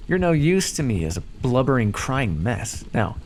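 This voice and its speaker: A man playing a calm, condescending vampire captor in an ASMR roleplay, teasing and coaxing as he talks. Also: mid-range voice, normal speed, slight background noise, natural voice. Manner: teasing, patronizing, coaxing, hesitant stammers. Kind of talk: ASMR roleplay, scripted monologue.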